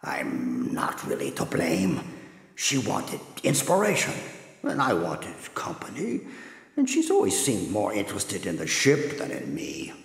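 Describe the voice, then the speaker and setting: A reverberant hall surrounds a sheepish professor with a creaky voice. He speaks slowly and ruefully, excusing himself, in comic radio play style. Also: deep voice, huge pitch swings, full character voice. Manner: hesitant, rueful. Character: sheepish, lovelorn portly male professor, self-excusing. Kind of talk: comedic radio play monologue. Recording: echoey, reverberant hall sound.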